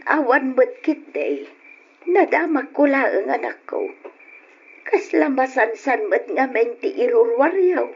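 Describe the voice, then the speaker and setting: A woman's voice: sits high, very animated, takes it slowly, breathy edge, full character voice. A woman with a Filipino Ilocano accent playing a worried, middle-aged mother who probes and admonishes her grown daughter in an old-time radio drama. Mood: suspicious, probing. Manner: probing, admonishing. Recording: dull old radio recording.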